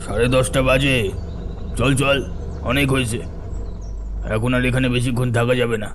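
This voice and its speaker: A man playing a brisk, familiar village uncle in a horror audio story, urging and mildly scolding. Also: mid-range voice, flat delivery, background noise, close to an everyday voice. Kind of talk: dramatised horror story dialogue.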